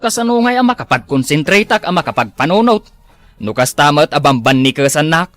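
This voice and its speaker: A man's voice: a little high, big pitch swings, a little fast, fairly bright tone. A man playing an evasive, henpecked lazy husband in an Ilocano radio drama, stammering and pleading with an Ilocano accent. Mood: whiny, protesting.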